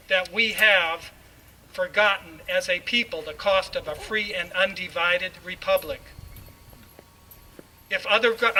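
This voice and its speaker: A man's voice: sits high, sharp tone. A man reading a formal proclamation aloud in a solemn, oratorical manner. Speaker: ceremonial reader. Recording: distant mic, faint background noise.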